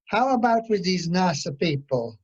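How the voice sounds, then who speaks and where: A woman playing a flattering, effusive male cruise ship waiter in an amateur radio comedy, over online meeting audio. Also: on the low side, a little slow, slightly rough, muffled recording, full character voice. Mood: gushing. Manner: gushing.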